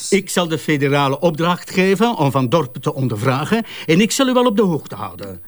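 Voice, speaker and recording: A grumbling Flemish prosecutor in a radio play, brushing off others' theories. His slightly high voice swings widely in pitch. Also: very animated, brisk. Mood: dismissive. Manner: dismissive and grumbling. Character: grumpy, skeptical senior male prosecutor. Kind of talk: radio drama acting.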